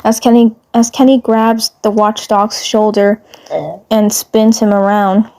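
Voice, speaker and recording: A woman with a fairly high voice narrates a written story at an unhurried, very slow pace. Her reading is halting, and she often stumbles over a word and says it again. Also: level tone, a little mellow. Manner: halting, stumbles and rereads words. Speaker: fan fiction narrator. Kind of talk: narrating a scripted story aloud.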